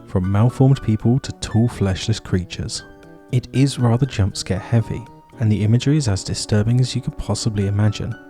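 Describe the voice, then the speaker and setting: A man's voice: lowish voice, warm tone. A man hosting and narrating a scripted video essay.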